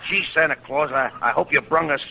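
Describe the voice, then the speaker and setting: A man's voice: a little high, fairly quick, heavy background noise, natural voice. A man acting an eager tattletale little boy with hesitant phrasing, in 1940s radio comedy on an old broadcast recording.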